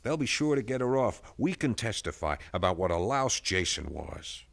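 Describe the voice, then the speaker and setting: A man's voice: lowish voice, big pitch swings, normal speed, vocal fry, fairly bright tone, heavily acted. An actor playing a suspicious, scheming man out on bail in a 1970s radio drama, talking with agitated stammers.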